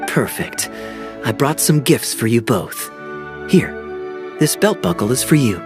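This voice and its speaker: A charming young knight in a fantasy game with an easygoing, teasing way of speaking. His voice sits at a middle pitch but moves lively, over game music. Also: a little slow. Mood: casual. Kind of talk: video game cutscene dialogue. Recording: game audio with background music.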